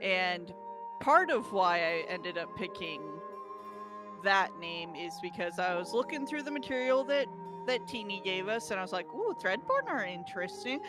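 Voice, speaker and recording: A woman's voice: mid-range voice, lively intonation, normal speed. A woman tabletop player casually explaining the character they made. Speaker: tabletop role-playing player. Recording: music playing behind the voice. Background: clear background music.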